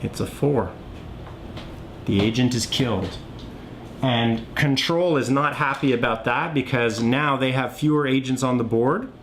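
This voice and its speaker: A man giving a casual, unscripted board game tutorial, thinking aloud as he demonstrates the moves. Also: measured pace. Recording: clearly noisy, low rumble.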